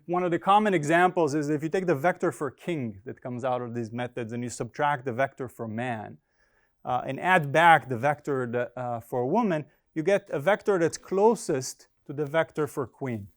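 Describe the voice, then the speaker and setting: A man, a native Hebrew speaker, presenting academic research in a casual, hesitant way with many fillers and dry humor. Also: fairly high pitch. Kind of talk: academic talk with slides.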